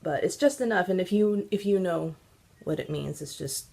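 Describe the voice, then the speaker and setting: Woman host, nasal from congestion, lowish gravelly voice, casual and meandering. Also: normal speed, mellow. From Central California. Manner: chatty and rambling, many ums. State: stuffy, congested. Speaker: podcast host. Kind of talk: casual knitting video podcast chat.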